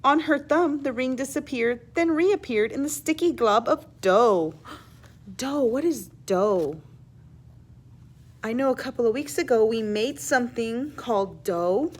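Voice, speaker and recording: A woman reading a children's story aloud in an expressive, teacherly way, pausing to explain words and ask questions. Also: a little high. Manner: animated, emphatic reading with hushed asides.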